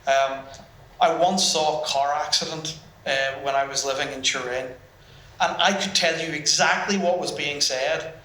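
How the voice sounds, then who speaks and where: A man's voice: on the high side, fairly even intonation, normal speed, sharp tone. A man on a business panel, talking in a hesitant way with frequent restarts and fillers. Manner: hesitant, restarts, many ums. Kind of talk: panel discussion. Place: event room with audience. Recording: roomy hall.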